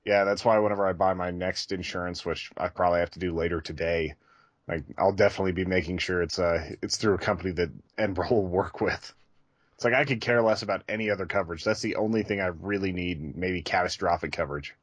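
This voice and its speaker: A man with a low voice talks candidly in casual podcast conversation. The sound is dry and close, and his delivery is conversational and a little self-deprecating. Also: fairly even intonation, quick pace, dry recording. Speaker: podcast co-host.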